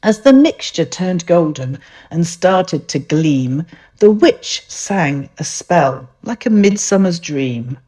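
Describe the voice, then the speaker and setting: Slow, lilting woman storyteller. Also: fairly low pitch. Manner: expressive, gentle. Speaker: author reading aloud. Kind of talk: rhyming picture-book storytelling.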